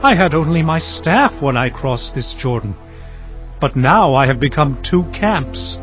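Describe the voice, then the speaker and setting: An actor playing an earnest, humble herdsman patriarch in a dramatized scripture reading, speaking in a measured, solemn way. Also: a little high, sing-song. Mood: anxious, pleading. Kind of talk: dramatized audio scripture acting. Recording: dull lo-fi audio over music.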